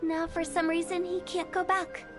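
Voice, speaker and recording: A woman's voice: very high-pitched, little pitch movement, normal speed, over music. A woman voicing a cheeky, confident young female funeral director in an anime game, playful and self-assured. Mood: smug.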